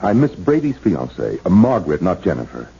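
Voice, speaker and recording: An actor playing a suave, secretly conspiring fiancé in a 1970s radio drama, polished and theatrical with an uneasy edge. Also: male voice, sits low, huge pitch swings, brisk, gravelly, mellow, slightly rough, full character voice. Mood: smooth, concerned. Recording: muffled, noisy old radio broadcast.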